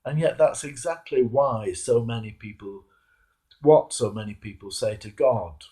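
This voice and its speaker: Male cleric for a devotional reflection. Unhurried, soft-edged delivery, deliberate emphasis on key words. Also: medium pitch. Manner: measured, emphatic, rhetorical questions. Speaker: Anglican clergyman reading a reflection. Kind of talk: devotional sermon, read aloud.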